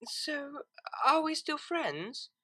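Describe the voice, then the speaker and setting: Woman doing a proper nervous young girl pony for an audio story, kinda naive, voice goes up high and bouncy. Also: very animated, extremely slow, sharp tone, exaggerated voice. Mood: anxious, defensive. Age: young adult. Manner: proper, precise, tentative. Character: refined, naive young cellist mare, prim and anxious.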